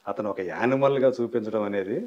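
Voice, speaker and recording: A man's voice: lowish voice, a little fast, highs cut off, a crowd in the background. A man interviewing someone casually, probing with follow-up questions and short acknowledgements.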